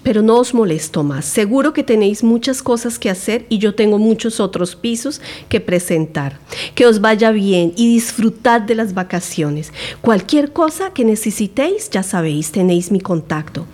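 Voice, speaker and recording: A woman's voice: mid-range voice, expressive, normal speed, darkish tone, lightly acted. A woman acting a breezy, boastful letting agent in an audio drama, piling up selling points as patter. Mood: brisk, cheery.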